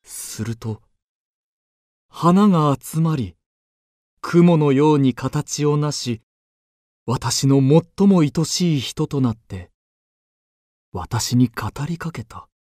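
An actor playing an earnest poet-pilgrim who recounts his journey deliberately as first-person radio drama narration. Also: a little high, lively intonation, a little mellow, no room sound, studio quality. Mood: earnest narrating.

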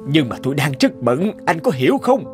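A man's voice: fairly high pitch, sing-song, fairly quick, music in the background. A man voicing a busy, grumbling male research scientist in a cartoon, with a harried manner. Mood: impatient. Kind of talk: cartoon voice acting.

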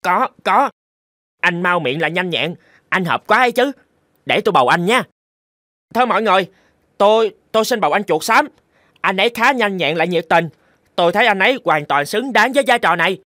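Man voicing an eager high-pitched cartoon mouse. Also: lively intonation, a little fast, pauses often, bright, forceful tone, no echo, clean studio sound. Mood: enthusiastic. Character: excitable, deferential mice of the colony. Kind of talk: cartoon dubbing.

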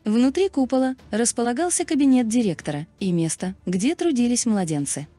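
Mellow, higher-pitched woman narrator. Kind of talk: scripted explainer narration. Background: background music.

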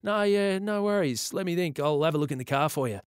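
A man's voice: on the high side, animated, brisk, smooth, no room sound, voice pushed up, close to an everyday voice. Guy playing a big aussie country cop from a storybook, blunt n matey farmer accent, dry sound. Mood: friendly, matey. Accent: rural Australian. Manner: official, blunt, then matey. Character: burly, jovial country policeman in his thirties.

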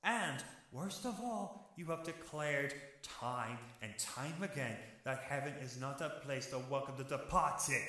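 Man playing stern male archangel, solemn and righteous, slow halting speech, bright forceful tone, audio drama. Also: medium pitch, expressive. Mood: stern, indignant. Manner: grave, declamatory, halting dramatic delivery. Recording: heavily echoing voice.

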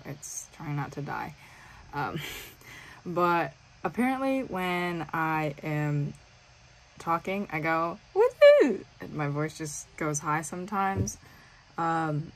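A woman's voice: deep voice, rough recording. A young woman vlogging from her bedroom, chatty and self-aware, with lots of ums. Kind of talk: casual vlog talk to camera. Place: bedroom with bunk beds, indoors.

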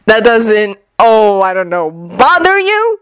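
An incredulous teenage ninja boy, played by a woman narrator in a storybook reading. He sounds demanding and fed up, with a pitch that jumps about and a dry, close sound. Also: very animated, slow pace, smooth, no echo.

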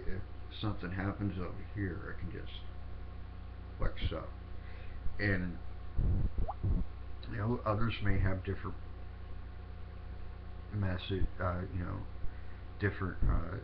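Low-voiced man with a sniffly cold. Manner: halting, many fillers and restarts. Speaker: paranormal video host. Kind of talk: casual rambling explanation. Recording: dull lo-fi recording with steady noise.

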